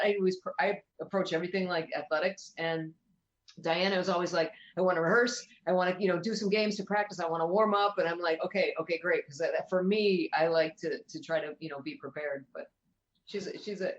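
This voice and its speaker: A woman's voice: lowish voice, fairly quick, lo-fi sound. A woman guest improviser chatting casually in an interview, laughing easily and restarting her sentences.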